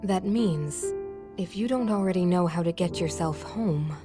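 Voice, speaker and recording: Scholarly fantasy game sage, actress: lowish female voice, composed, precise, explanatory, clear music underneath. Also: a little slow, natural voice. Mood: explaining solemnly. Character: scholarly, composed elder sister sage of an ancient people. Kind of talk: dramatic video game cutscene acting.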